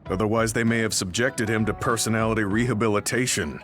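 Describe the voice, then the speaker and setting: A man acting as a composed game squadmate with a fairly low voice. He talks in measured, supportive tones, with quiet music behind him. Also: steady tone, close to an everyday voice. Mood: analytical. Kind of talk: video game cutscene acting.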